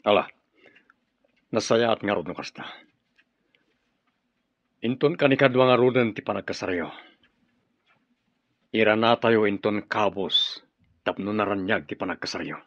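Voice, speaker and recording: A man playing an authoritative rebel group leader, measured and commanding, in an Ilocano radio drama. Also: on the low side, a bit bright. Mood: commanding, approving. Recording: dull, roomy recording with faint music.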